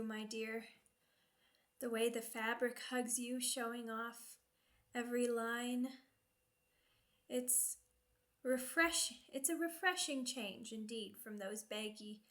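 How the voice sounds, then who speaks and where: A woman's voice: on the high side, fairly bright tone. A woman playing a seductive, sadistic vampire noblewoman in an ASMR roleplay, speaking formally and teasingly, savoring each phrase.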